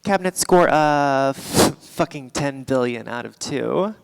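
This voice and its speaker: An actress playing an irritable, foul-mouthed, sardonic game streamer in a live staged reading. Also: female voice, extremely low pitch, lively intonation, takes it slowly, vocal fry, clear, resonant tone, exaggerated voice. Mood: exasperated. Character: irritable, foul-mouthed woman video-game livestreamer addressing chat. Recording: echoey theatre hall, live stage.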